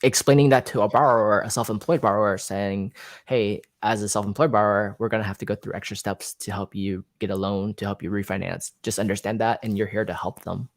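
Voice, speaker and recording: A man's voice: on the low side, fairly even intonation, brisk, no room sound. A man hosting a casual talk about mortgages, talking in long, loose, rambling sentences. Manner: conversational, rambling with restarts. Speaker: podcast host. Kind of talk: casual conversation.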